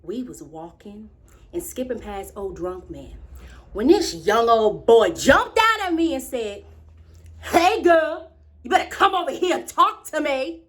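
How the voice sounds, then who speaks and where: An imaginative, excitable young girl, acted by a woman with an American accent, tells her story as a theatrical monologue. The voice is high and very animated, moving dramatically between confiding and proud exclamation. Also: a little slow. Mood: indignant. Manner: dramatic, wide swings from hushed confiding to exclaiming.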